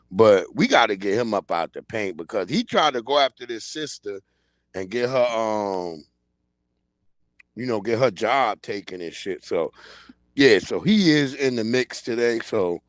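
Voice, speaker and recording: A man in his forties talks straight into a streaming mic with an average pitch, and the sound is close and dry. His delivery is animated, blunt and full of slang, and he keeps pushing his point. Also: no echo. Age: 40s. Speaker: livestream host. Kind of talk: casual commentary livestream.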